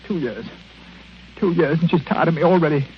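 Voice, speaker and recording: A man's voice: on the high side, a little fast, lightly acted. An actor playing a miserly, self-pitying old man, whining and blustering in 1940s radio drama style. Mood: mournful, grumbling. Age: older. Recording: dull, noisy 1940s radio recording.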